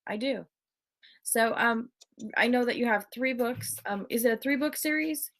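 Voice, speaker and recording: A woman's voice: fairly high pitch, normal speed, fairly bright tone. A woman hosting an interview, friendly, with hesitant restarts. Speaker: host. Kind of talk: casual interview over video call.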